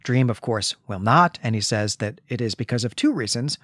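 A male podcast host with a mid-pitched voice talks through a story in a considered, measured manner. He reworks his phrasing as he explains. The sound is dry, with hardly any room to it. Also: expressive, a bit bright, dry recording. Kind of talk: literary discussion with retelling and reading aloud.

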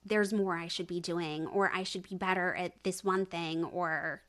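A woman's voice: fairly low pitch, fairly even intonation, a little slow, fairly bright tone. A woman in her thirties or older speaking as a podcast guest in a conversational, opinionated way, stressing points by repeating words. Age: 30s or older. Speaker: researcher and analyst. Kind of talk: conversational discussion.

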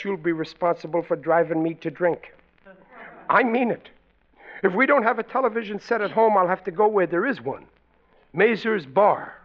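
High-pitched blustering sitcom dad, old radio. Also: male voice. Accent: American. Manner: melodramatic, exasperated, pleading. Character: blustering, wheedling family father, comic schemer. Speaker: sitcom lead actor. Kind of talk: classic American radio comedy acting. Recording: dull, noisy old radio broadcast.

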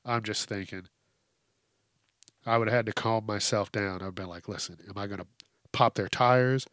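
A man's voice: lowish voice, fairly even intonation, moderate pace, fairly bright tone, no room sound. A congested man hosting a solo podcast, talking casually in blunt, emphatic, profanity-laced rants into a close microphone. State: congested from allergies. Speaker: podcast host. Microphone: close hypercardioid podcast microphone.

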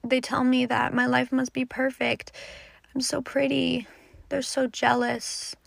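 A teenage girl with a fairly high voice and a noticeable creak in it. She recites her own poetry earnestly and dramatically, recorded close and dry. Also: level tone, measured pace, no room sound. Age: teenager, fourteen. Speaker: poet. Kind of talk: introducing and reciting own poem.

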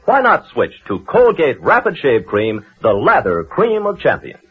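An American man as a commercial announcer, giving a punchy, emphatic 1940s radio sales pitch. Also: wildly swooping pitch, measured pace, husky. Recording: tinny, dull vintage radio recording.

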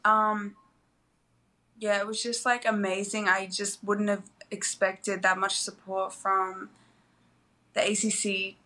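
Bright-voiced young Australian woman. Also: medium pitch, little pitch movement, slow pace. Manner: soft, hesitant, lots of "like" and "um". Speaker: college basketball player, guest. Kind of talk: interview answers.

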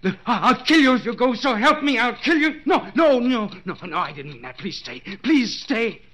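An actor playing a desperate, jealous husband in a 1940s radio suspense play, halting and intense, repeating his words. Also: very high-pitched, big pitch swings, pushed and bright, exaggerated voice. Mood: frantic panic. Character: desperate, jealous husband, a clock repairman trapped bound in cellar. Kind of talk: 1940s radio suspense acting, inner monologue. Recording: noisy vintage 1940s radio recording.

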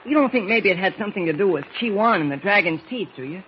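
An eager young newsroom lad talks in a high, boyish voice. He is earnest and excitable, in old radio-serial style. The sound is muffled and hissy. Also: fast. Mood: excited. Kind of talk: 1940s radio acting.

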